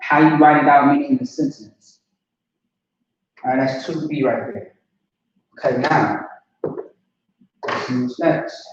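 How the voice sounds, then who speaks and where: Male teacher, chatty and repetitive, recorded distant and boomy. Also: steady tone, unhurried, pauses often. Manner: casual, repetitive, checks understanding often. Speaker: language teacher. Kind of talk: informal online language lesson. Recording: distant lo-fi mic in a very echoey room.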